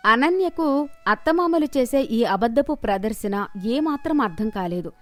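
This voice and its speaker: High-pitched woman narrating a story. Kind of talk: scripted moral story narration.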